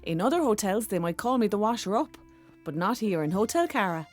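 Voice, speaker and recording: A woman's voice: medium pitch, sing-song, fairly quick, faint music behind, lightly acted. A woman playing a proud, cheery hotel washer-up who talks with self-importance, in a children's audio drama. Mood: proud, cheerful.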